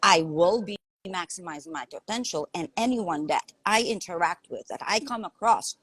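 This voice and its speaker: A woman's voice: on the low side, moderate pace, a bit bright, husky, no room sound. A woman with a Lithuanian accent, a coach talking earnestly in a podcast conversation, often asking "right?". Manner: earnest, emphatic, repeats herself and asks "right?".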